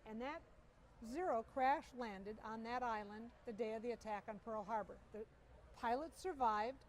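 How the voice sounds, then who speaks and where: A middle-aged woman telling stories with animated enthusiasm as a guest in an interview, recorded at an outdoor air show. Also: a little high, normal speed, slight background noise. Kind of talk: storytelling interview.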